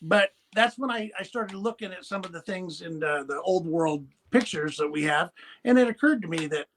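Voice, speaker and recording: A man with a high-pitched voice talks as a podcast guest. He rambles through stories with frequent restarts and fillers, in a blunt, folksy way. He grew up in the Pacific Northwest. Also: animated, moderate pace, a bit bright, a little hiss or hum. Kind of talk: rambling conversational storytelling.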